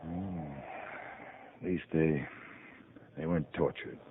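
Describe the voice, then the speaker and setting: Stern cavalry captain, old thin radio sound. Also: male voice, a bass voice, steady tone, slow pace, narrow, tinny audio. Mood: grim, subdued. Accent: American. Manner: clipped, challenging questions and orders. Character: stern, demanding male cavalry captain, sharp and commanding. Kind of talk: 1950s radio drama acting. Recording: noisy, band-limited 1950s radio recording.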